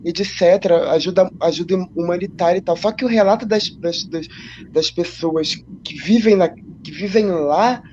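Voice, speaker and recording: A Brazilian man telling a story in a halting way, restarting often. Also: sits high, a little mellow, lo-fi sound, slight background noise. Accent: Brazilian Portuguese. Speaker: panelist. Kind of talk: discussion.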